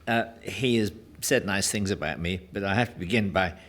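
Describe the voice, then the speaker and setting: A man giving a formal, courteous speech as a guest, self-deprecating and halting, with frequent hesitations. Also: low-pitched, a bit bright. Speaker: guest speaker, journalist and political analyst. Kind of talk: formal speech at a book launch.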